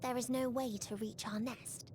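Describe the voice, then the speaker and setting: A woman playing a mournful, calmly merciless otherworldly being in a video game, speaking solemnly and breathily. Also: on the high side, moderate pace, a little hiss or hum, lightly acted. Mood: bleak resignation. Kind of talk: scripted video game voice acting.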